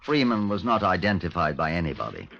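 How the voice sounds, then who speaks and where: British police inspector, expressive and formal. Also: male voice, low-pitched, fast talker, gravelly, background noise, heavily acted. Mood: measured, formal. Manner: formal, dry, authoritative. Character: measured, wry, authoritative male Scotland Yard police inspector. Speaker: actor playing the lead inspector and narrator. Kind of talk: 1950s radio drama narration and dialogue. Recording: dull, lo-fi 1950s radio recording.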